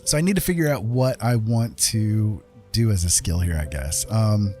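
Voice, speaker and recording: A man's voice: fairly low pitch, normal speed. A man hosting a casual game livestream, rambling and thinking aloud as he chats with viewers. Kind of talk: casual livestream chat while playing. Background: game music.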